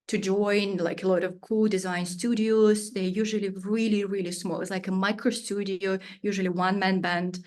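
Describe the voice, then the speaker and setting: A woman's voice: medium pitch, a little mellow. A woman in her thirties, a native Russian speaker, answering interview questions with searching phrasing, frequent fillers and non-native grammar. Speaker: studio founder, guest.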